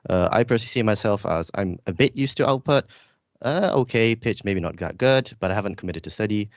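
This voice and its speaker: Deep-voiced man, hesitant, muffled. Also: animated, a little fast, dry recording. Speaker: podcast co-host. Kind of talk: casual podcast conversation.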